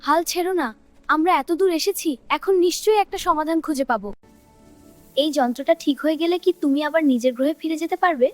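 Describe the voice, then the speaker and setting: A woman voicing a curious, kind-hearted child in a cartoon, with earnest, expressive delivery. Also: sits high, quick pace, no echo, soft background music, natural voice. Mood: encouraging. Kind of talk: cartoon dub dialogue.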